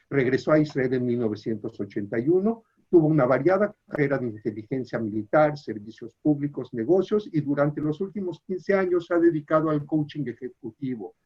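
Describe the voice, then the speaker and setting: A man with a husky, slightly breathy voice moderates an online talk. He reads formally and steadily, and his audio is dull and lo-fi, like a video call. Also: medium pitch, dark tone. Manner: formal, measured reading with hesitant fillers when improvising. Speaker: webinar moderator. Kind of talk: reading an introduction, then moderating questions.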